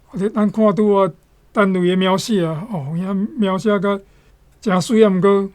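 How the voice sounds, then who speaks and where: A radio presenter introducing and reading literary passages in a measured way, phrase by phrase. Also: mid-range voice, steady tone, a little mellow. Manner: deliberate, phrase by phrase.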